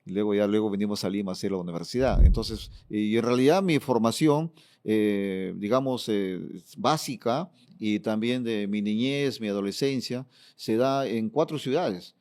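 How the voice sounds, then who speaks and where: A man's voice: on the low side, moderate pace, a little mellow. Peruvian man explaining in an interview. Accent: Peruvian Spanish. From Peru. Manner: explanatory, fond of "fíjate" and "eh" fillers. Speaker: politician, former regional governor. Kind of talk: interview answers.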